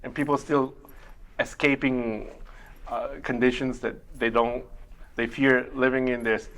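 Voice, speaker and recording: A middle-aged man whose first language is Mandarin Chinese, working as an immigration attorney and answering interview questions in a measured way, with many pauses to think. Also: medium pitch, flat delivery, a bit bright. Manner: measured, explains step by step with frequent uh pauses. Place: community TV studio.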